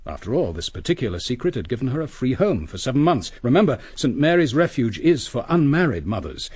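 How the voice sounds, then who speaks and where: A husky British detective inspector, methodical and cool. His delivery swings widely in pitch as he deliberately reconstructs events, as in a radio murder mystery. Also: sing-song, a little mellow. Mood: reflective, intent. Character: coolly brilliant male police inspector, methodical and probing.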